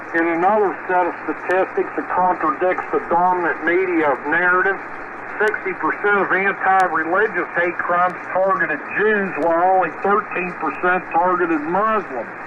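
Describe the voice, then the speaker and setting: A man reading political commentary aloud over shortwave ham radio, now and then stumbling and repeating words. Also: high-pitched. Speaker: ham radio net speaker. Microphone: radio transmitter microphone. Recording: noisy narrowband shortwave ham radio transmission.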